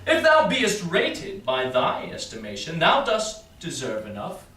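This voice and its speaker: Man playing a proud prince, bright and declamatory. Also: a little high, wildly swooping pitch, full character voice. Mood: pondering. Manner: declamatory, deliberating aloud with weighty pauses. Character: proud, self-assured prince of Morocco deliberating as suitor. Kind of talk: Shakespearean verse, staged reading. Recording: roomy, distant mic, faint noise.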